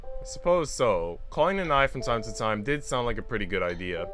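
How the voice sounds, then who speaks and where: A man hosts a casual reading stream with a bright, forceful voice. His pitch moves around a lot as he shifts between slangy chat and story narration. Soft music plays faintly behind him. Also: a little fast. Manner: slangy, animated storytelling in asides. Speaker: streamer reading a visual novel aloud to viewers. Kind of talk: casual livestream chat mixed with read-aloud narration.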